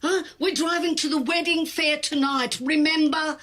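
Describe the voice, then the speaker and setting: A woman's voice: sits high, steady tone, normal speed, a bit bright. An actress playing a bossy, impatient middle-aged wife and mother in an audio drama, scolding and commanding. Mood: exasperated, bossy.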